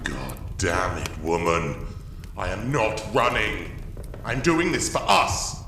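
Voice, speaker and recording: An actor playing a proud, bitter, obsessed expedition leader and husband, delivered as grandiose, theatrical first-person audio drama narration. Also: male voice, sits low, takes it slowly, bright, forceful tone, a little hiss or hum, heavily acted. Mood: angry, defiant.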